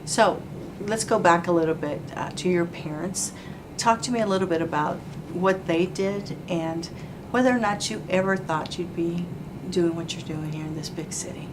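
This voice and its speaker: A woman hosting an interview, warm and formal, full of praise and asides. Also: on the low side, moderate pace, background noise. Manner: effusive, praising, fond of "you know" and "like". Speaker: interview host, chamber of commerce president.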